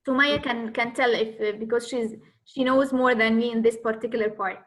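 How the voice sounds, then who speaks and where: A woman from Egypt, a native Arabic speaker with an Egyptian accent, introducing herself as a teacher at an online orientation, pausing often with fillers and "okay". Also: fairly high pitch, fairly even intonation, fairly bright tone, rough recording. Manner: hesitant, many fillers and "okay"s. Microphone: video call.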